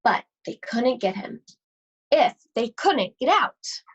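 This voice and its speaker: Casting: female storybook reader, higher-pitched, unhurried, expressive and suspenseful delivery for young listeners. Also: slightly rough, dull audio. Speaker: school library read-aloud host. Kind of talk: expressive children's storybook read-aloud.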